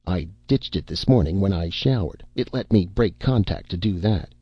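A man voicing a terrified, frantic grown man whose voice strains and goes shrill as he pleads. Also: expressive, normal speed, slightly rough, no room sound, dull audio, full character voice. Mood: strained. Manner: pleading, breaks into high frantic cries.

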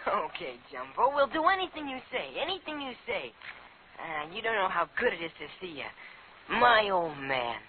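A performer playing an eager, adoring fourteen-year-old boy, excitable and slangy, in a 1950s radio drama. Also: mid-range voice, moderate pace, a little hiss or hum, natural voice. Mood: devoted. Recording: tinny old radio broadcast.

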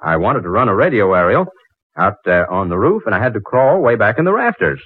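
An excitable, astonished fellow in an old radio drama, his pitch swinging widely. His delivery is urgent and theatrical, with a rough husky edge. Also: fairly quick, no room sound. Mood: excited, amazed. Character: excitable man astonished by a hidden find. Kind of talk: 1940s radio drama acting. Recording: muffled narrow-band 1940s radio recording.

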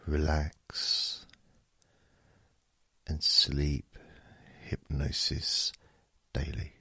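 A man hosting a relaxation hypnosis session in a soothing, hushed way, leaving long pauses. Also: a bass voice, monotone, breathy edge, no room sound. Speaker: hypnosis podcast host.

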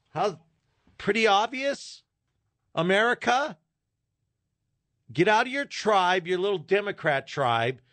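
A man's voice: sits high, no room sound. A man hosting a call-in talk radio show, ranting in disbelief and riffing with callers. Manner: animated, incredulous ranting. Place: radio studio. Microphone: studio microphone.

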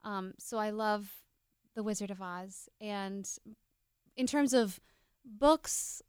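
A woman's voice: medium pitch, takes it slowly, clear, resonant tone, no room sound, studio quality. A woman speaking as a guest in a casual panel chat. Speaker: podcast guest, stage performer.

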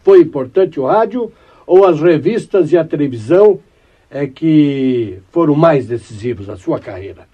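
A male podcast host asks his questions in a measured, formal tone. His voice has a husky grain, and the recording is a little dull and muffled. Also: a little high, expressive. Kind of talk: interview questions, formal introduction.

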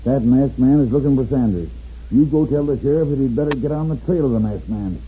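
A man's voice: mid-range voice, a little fast, natural voice. A man playing a shrewd western father in a 1950s radio drama. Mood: scheming. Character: shrewd western father, out after his money. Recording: muffled old radio recording, faint noise.

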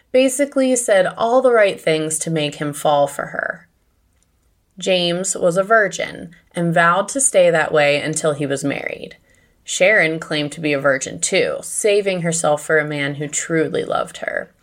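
An American woman hosting a podcast, narrating true crime in a conversational, scripted style. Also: fairly low pitch. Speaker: podcast host.

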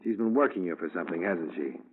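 An actor playing a wry, tough private investigator who talks and narrates in slang in a 1950s radio crime drama. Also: male voice, sits low, lively intonation, fast talker. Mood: tough, probing. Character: hard-boiled, wry male private investigator. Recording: tinny, hissy 1950s radio recording.

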